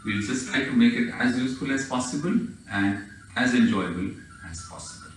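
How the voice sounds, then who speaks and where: A man with an Indian accent, whose first language is Hindi, leading a yoga session in measured, reverent, slightly halting phrases. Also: medium pitch. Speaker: yoga teacher. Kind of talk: guided yoga session over video. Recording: very echoey, distant mic, faint music.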